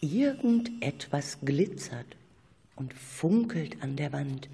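A husky storyteller narrates a children's radio play at an unhurried pace. The narrator turns to listeners conversationally along the way. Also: mid-range voice, big pitch swings, warm tone.

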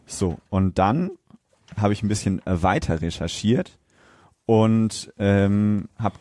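A man with a German accent hosting a podcast, explaining facts in a casual, halting way. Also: lowish voice, animated, mellow, no room sound. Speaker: podcast host.

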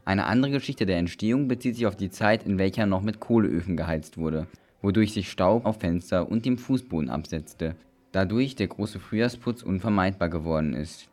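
A man's voice: deep voice, moderate pace, darkish tone. A man reading a scripted explanatory report as a youth radio reporter. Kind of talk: scripted news narration.

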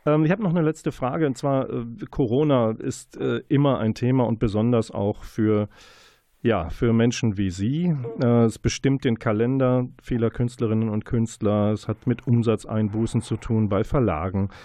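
A man's voice: medium pitch, moderate pace, dark tone, no room sound, clean studio sound. A man hosting an interview, asking questions in a conversational, playful and wordy way. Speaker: interviewer, radio host.

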